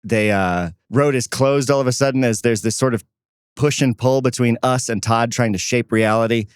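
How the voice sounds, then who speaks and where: A man's voice: medium pitch, moderate pace, clean tone, no room sound. Man co-hosting a podcast, dry close sound. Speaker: podcast co-host and producer. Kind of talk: casual behind-the-scenes chat.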